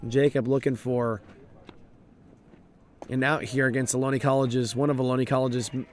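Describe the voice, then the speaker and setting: A man calling a college baseball game play by play, his voice raspy and hoarse from overuse, steady and informative, and lifting with the action on the field. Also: medium pitch, moderate pace, slight background noise. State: hoarse, voice drained. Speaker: play-by-play announcer. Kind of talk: live baseball play-by-play commentary.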